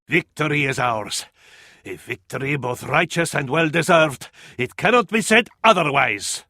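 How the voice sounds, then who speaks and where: An actor playing a devout, grandiose advisor to a sultan in a video game, with an Arabic accent and flowery, dramatic delivery. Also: male voice, high-pitched, gravelly, sharp tone, no room sound, clean studio sound, full character voice. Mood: exultant. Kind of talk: theatrical video game voice acting.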